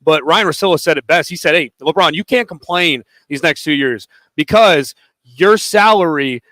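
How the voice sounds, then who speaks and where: A man's voice: on the high side, moderate pace, no room sound. Man reading betting odds on radio. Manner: steady, setting up topics with odds. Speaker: sports radio host. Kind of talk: sports betting radio talk. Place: radio studio. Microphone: studio microphone.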